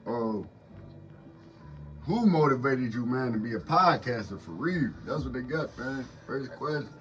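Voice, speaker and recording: A man's voice: mid-range voice, animated, measured pace, distant mic, faint music behind, rough recording. A man hosting a casual podcast interview, hyped and slangy, with frequent affirmations. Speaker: podcast host.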